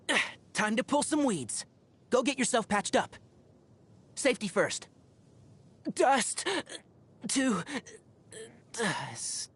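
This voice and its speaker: A man's voice: high voice, gravelly, fairly bright tone, husky, heavily acted. A man playing a young, scholarly fox-eared forest ranger in a video game, speaking precisely and articulately with dry wit. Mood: battle-ready, sharp. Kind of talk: scripted game voice lines. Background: background music.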